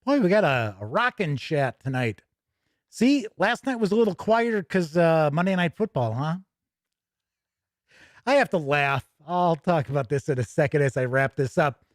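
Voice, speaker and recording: A man hosting a tabletop gaming livestream, chatting casually with viewers in a folksy, jokey, animated way. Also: on the high side, moderate pace, no room sound, clean studio sound.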